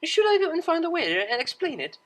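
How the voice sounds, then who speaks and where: A woman's voice: extremely high pitch, very animated, fast, voice pushed up. A woman voicing a refined, polite young mare cellist in a pony story, awkward and earnest, with prim formal wording. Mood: apologetic, embarrassed.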